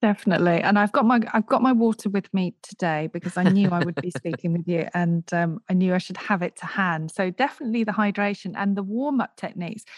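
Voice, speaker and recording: A woman's voice: medium pitch, fast, hardly any pauses, no echo. A woman hosting a podcast, interviewing her guest in a conversational style. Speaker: podcast host.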